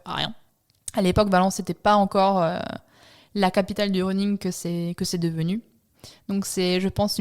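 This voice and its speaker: A woman in her thirties from France, telling her own story in an interview, relaxed and reflective with many hesitant pauses. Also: mid-range voice. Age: 30s. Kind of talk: personal testimony interview.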